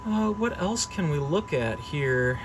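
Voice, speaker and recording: A man's voice: fairly high pitch, expressive, slow, noisy background. A man giving a casual, unscripted equipment demonstration as a hobbyist technician, thinking aloud hesitantly as he goes. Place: service workshop, indoors.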